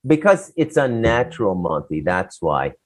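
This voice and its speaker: Man, low-pitched, opinionated monologue, rambling, blunt, salty language. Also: big pitch swings, normal speed. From Canada. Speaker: talk show co-host.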